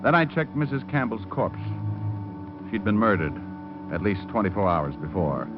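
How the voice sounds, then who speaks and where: Wry gumshoe, low and clipped, tough wisecracks, dull vintage radio recording. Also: male voice, big pitch swings, normal speed. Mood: grim, wry. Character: wry, hard-boiled male private detective narrating his case. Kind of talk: 1940s-50s radio detective drama acting. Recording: muffled old radio recording, music behind.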